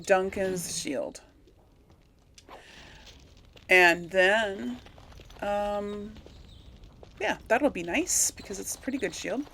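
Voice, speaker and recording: Casting: female gaming host, average pitch, informal running commentary, talkative, thinks out loud. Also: a little hiss or hum. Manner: chatty, thinking aloud. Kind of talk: casual let's play commentary.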